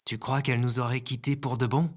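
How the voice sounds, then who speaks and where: Man acting a regular sheepish guy, mid pitch, casual talk, audio drama, noisy background. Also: flat delivery, brisk, natural voice. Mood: worried. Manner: casual, colloquial. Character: impulsive, remorseful ordinary guy, last human survivor. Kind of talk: scripted audio fiction acting.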